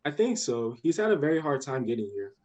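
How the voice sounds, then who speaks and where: A man's voice: mid-range voice, big pitch swings, brisk, darkish tone. A young man playing an eager, bossy, imaginative little girl in a radio play, with earnest impatience. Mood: earnest, excited. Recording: dull lo-fi audio.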